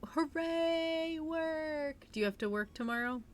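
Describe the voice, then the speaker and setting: A woman's voice: high voice, measured pace, slight background noise. A woman hosting a livestream, chatting informally and reading a story aloud in a giggly, chatty way. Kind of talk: casual livestream chat and audiobook-style reading.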